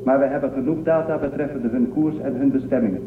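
An actor playing an earnest, analytical guardian machine in a radio drama, with precise, formal delivery. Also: male voice, mid-range voice, fast talker, music in the background, lightly acted. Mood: analytical, factual. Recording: echoey, tinny phone-like sound with noise.

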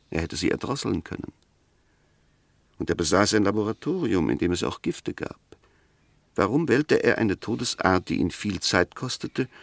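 Low-voiced, incisive defence lawyer. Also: male voice, gravelly, fairly bright tone, no room sound, full character voice. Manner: articulate, methodical, rhetorical, formal. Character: measured, incisive male defence lawyer arguing a murder case. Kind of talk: 1960s German radio play acting.

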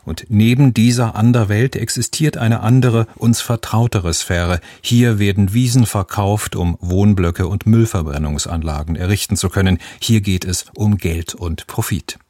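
Low-voiced male radio presenter. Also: steady tone, normal speed, few pauses, warm tone, no room sound, studio quality. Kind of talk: scripted radio introduction.

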